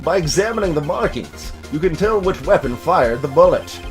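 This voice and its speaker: A man voicing an earnest young male defense attorney in a video game, making emphatic, deliberate declarations. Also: on the high side, animated, faint music behind, lightly acted. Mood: confident explaining. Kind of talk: video game dub acting.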